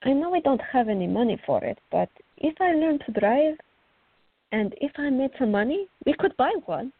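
Fiery teenage girl played by a woman, very high-pitched, playful and pleading, radio drama, dry dull sound. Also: expressive, normal speed, no room sound. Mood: hopeful, eager. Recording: dull lo-fi recording.

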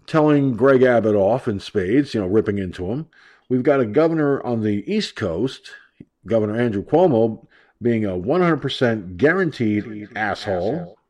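A man's voice: medium pitch, lively intonation, moderate pace, darkish tone. A man hosting an online talk radio show, ranting brashly and profanely about politics, his voice stuffed up and nasal from allergies.

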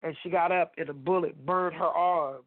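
A woman's voice: fairly low pitch, fairly even intonation, normal speed. Stuffy-voiced woman testifying over phone. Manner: emphatic testifying, talks straight to listeners. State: stuffy nose. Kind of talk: faith talk radio chat. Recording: dry phone-line sound.

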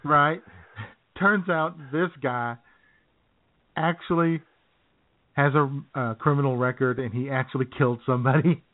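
A man co-hosting a comedy radio show who talks in halting, sarcastic asides full of "you know". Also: mid-range voice, fairly even intonation. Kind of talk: casual comedic radio banter. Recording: tinny phone-like line.

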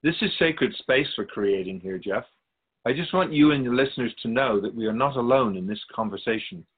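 A man playing a serene, wise otherworldly keeper of souls in a radio drama, speaking in a measured, formal, instructive manner. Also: mid-range voice, lively intonation, husky, narrow, tinny audio. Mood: solemn, assured.

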